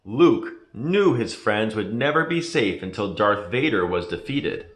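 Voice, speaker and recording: A man reading a children's story aloud as a scripted narration, in a dramatic, deliberate manner. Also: lowish voice, lively intonation, a bit bright. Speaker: storybook reader. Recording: echoey room.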